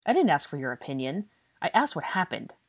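A woman's voice: deep voice, sing-song, fairly quick, dry recording. A woman voicing an indignant, demanding young man with a defiant temper in an audiobook story. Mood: bitter. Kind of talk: audiobook character dialogue.

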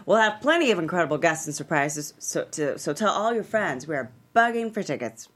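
A woman playing a famous talk show hostess in a screenplay read. Her voice sits slightly low and swings widely in melody. She is poised and in command, with sly, folksy showmanship. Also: very animated, a little fast, fairly bright tone, exaggerated voice. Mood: showy, playful. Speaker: actor at a script table read. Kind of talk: dramatic screenplay table read.

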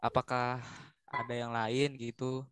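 A man's voice: medium pitch, completely flat delivery, unhurried, a bit bright, clean tone. A young adult man taking part in a casual discussion. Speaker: discussion participant. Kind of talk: casual chat.

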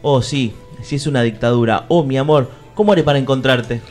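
An actor with an Argentine accent playing a crude, lovestruck fairy-tale prince in a comic radio play, mock-courtly and slipping into street slang. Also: male voice, mid-range voice, brisk, a little mellow, faint music behind, close to an everyday voice. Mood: exasperated, eager. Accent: Argentine, Rioplatense. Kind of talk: comic radio theatre parody.

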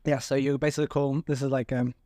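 Swedish man, 30s, quick rambling interview guest, dry mic. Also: mid-range voice, little pitch movement, warm tone, no room sound. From Sweden. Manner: fast, rambling, many fillers and restarts. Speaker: venture capital guest. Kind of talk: casual interview answers.

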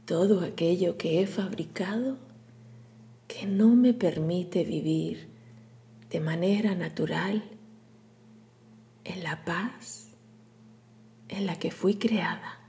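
Woman, guided meditation, hushed and breathy, lowish pitch, very unhurried, soothing, long pauses. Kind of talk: guided meditation, scripted narration.

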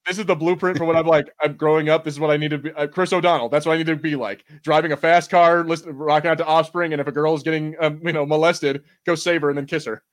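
Man, slightly high-pitched, casual podcast banter, loose commentary, salty language. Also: steady tone, fast talker, hardly any pauses. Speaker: podcast co-host.

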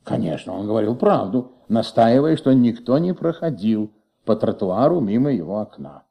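An actor in a Soviet radio play playing a patient, shrewd middle-aged police commissaire with flu, questioning gently in a husky, creaky voice. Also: male voice, mid-range voice, lively intonation, normal speed. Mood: earnest, explaining. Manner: gentle, coaxing, paternal questioning.

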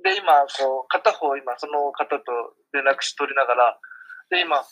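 A man speaking as a guest in a casual radio interview, polite and halting, often restarting his sentences. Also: medium pitch, lively intonation, fairly bright tone, highs cut off. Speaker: guest, trampoline instructor. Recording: remote online call recording.

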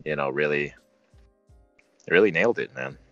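A man's voice: rumbling low, lively intonation, normal speed, a bit bright. A man hosting a casual film review chat, talking in an informal, joking way. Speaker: review show host. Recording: slightly dull, roomy sound with faint music.

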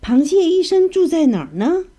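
A woman's voice: very high voice, big pitch swings, normal speed, warm tone, voice pushed up. A woman voicing a fretful old grandmother fish in a children's story, anxious and urgent. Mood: worried.

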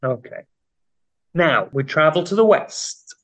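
A man's voice: a little high, lively intonation, slow. A man with a slightly raised voice runs a quiz in casual podcast banter. He teases and jokes like a playful quizmaster. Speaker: podcast co-host running a quiz. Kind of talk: casual comedic chat.

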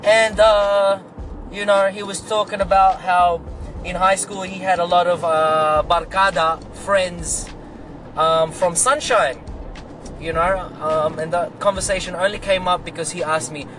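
A man's voice: sits high, fairly even intonation, measured pace, fairly bright tone, faint music behind, poor audio. A young man with a Filipino English accent telling stories in a rambling, chatty way while driving his car. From Australia. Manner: rambling, chatty, lots of "you know". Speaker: rideshare driver vlogger. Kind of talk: casual storytelling vlog. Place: in a car, stuck in traffic.

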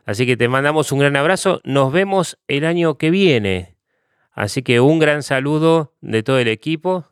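Argentine man, host, friendly, smooth resonant voice, dry sound. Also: fairly high pitch, lively intonation, moderate pace, dry recording. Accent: Argentine Spanish. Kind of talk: interview sign-off.

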